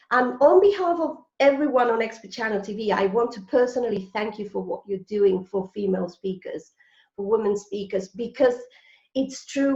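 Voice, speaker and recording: A woman's voice: fairly high pitch, darkish tone. A woman hosting an online interview show in an enthusiastic, effusive way over a video call. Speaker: host. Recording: roomy, dull video call audio.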